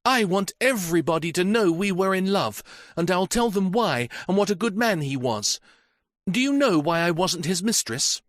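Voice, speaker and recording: A man's voice: high voice, animated, moderate pace, no echo, clean studio sound, pitched above the performer's own voice. A man voicing a distraught, grieving young woman who speaks in impassioned, tearful outbursts.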